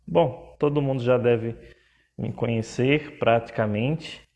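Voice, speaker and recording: Slow, soft-toned Pernambuco-accented priest. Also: male voice, mid-range voice. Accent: Pernambuco Portuguese. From Pernambuco, Brazil. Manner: slow, measured, with frequent hesitations. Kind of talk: livestream talk.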